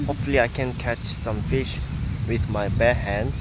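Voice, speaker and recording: A man's voice: medium pitch, fairly even intonation, moderate pace. Young Korean man vlogging outdoors. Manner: simple non-native English phrasing. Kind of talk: casual vlog chat. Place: outdoors at a riverside campground. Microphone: handheld camera at arm's length. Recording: noisy outdoor camera, wind and ambience.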